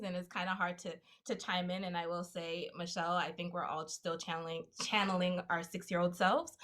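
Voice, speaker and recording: A woman from the United States speaking as a panelist in an online discussion. She laughs easily and pokes fun at herself. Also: lowish voice. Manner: warm, self-deprecating, laughs easily.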